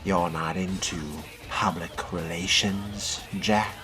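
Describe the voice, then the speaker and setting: A man playing a newly turned vampire in a video game role-play, guarded and terse, with a measured, questioning manner. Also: low-pitched, fairly even intonation, music in the background.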